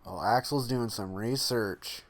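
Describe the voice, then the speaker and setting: A man hosting a casual reaction video, exclaiming briefly and reading on-screen text aloud haltingly. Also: medium pitch, a bit bright.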